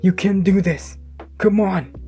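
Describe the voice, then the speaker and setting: Male narrator doing a teen hero, higher and louder, urgent and coaxing. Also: steady tone, takes it slowly, soft background music. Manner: pleading, encouraging, raised voice. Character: earnest teenage boy hero, calm but urgent.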